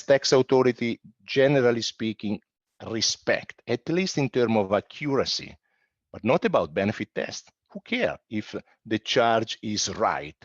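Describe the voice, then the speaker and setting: A man's voice: medium pitch, dry recording. A man giving a conference presentation as a panel speaker, emphatic and fond of repeating his phrases for effect. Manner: emphatic, repeats phrases, voices imagined objections. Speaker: panel speaker on international tax. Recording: dull, muffled sound.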